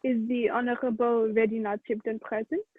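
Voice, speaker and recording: A woman's voice: fairly high pitch, flat delivery, moderate pace, husky. A woman playing a stern, authoritative male police prefect, formal and commanding, in a radio play. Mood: questioning. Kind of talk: 1940s radio play acting. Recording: tinny phone-like narrow band.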